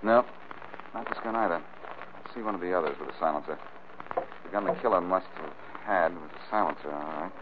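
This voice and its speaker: An actor playing a cool, self-assured, wry amateur detective in a 1940s radio drama. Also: male voice, deep voice, fairly quick, pauses often, close to an everyday voice. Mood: cool, probing. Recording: narrow-band noisy old radio recording.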